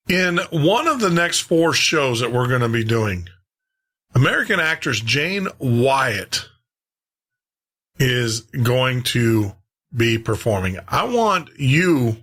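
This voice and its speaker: A man's voice: medium pitch, sing-song, slow pace, pauses often, a bit bright. A man hosting a podcast, talking casually and conversationally as he introduces old-time radio shows, often repeating and rephrasing himself. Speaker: podcast host.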